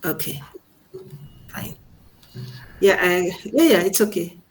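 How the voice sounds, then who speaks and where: A woman's voice: on the low side, expressive, takes it slowly, a little hiss or hum. Woman teaching in an informal seminar. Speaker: radiology instructor.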